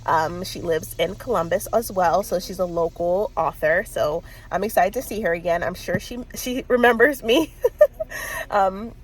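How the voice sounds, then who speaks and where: A woman's voice: on the low side, normal speed, husky, poor audio. A woman in her thirties with a stuffy cold, chatting casually on a book vlog, rambling with lots of fillers and little laughs. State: congested with a cold. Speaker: book vlogger. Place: in a car.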